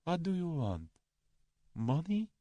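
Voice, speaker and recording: A man voicing a cowardly, haughty aristocrat in an audiobook story, formal and halting. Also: very animated, no room sound, lo-fi sound. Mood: frightened. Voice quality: soft-spoken, high when agitated.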